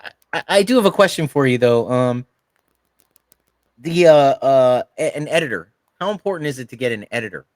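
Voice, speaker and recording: A man co-hosting a podcast in casual chat, halting and stacking fillers before he gets his question out. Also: medium pitch, lively intonation, a little mellow, dry recording.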